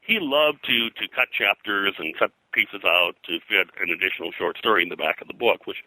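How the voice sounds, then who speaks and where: Low, bright-voiced American man, rambling storyteller. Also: fairly quick, no echo, low-quality recording. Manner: rambling, digressive, detail-heavy. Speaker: interview guest, pop culture historian and publisher. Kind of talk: conversational interview, telling detailed anecdotes.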